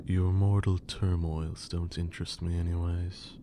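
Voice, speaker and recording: A man playing a haughty, menacing vampire lord in an ASMR roleplay, with condescending, theatrical speech and a breathy, creaky voice. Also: low voice, flat delivery, noisy background. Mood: aloof, intrigued. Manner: slow, condescending, theatrical, archaic wording.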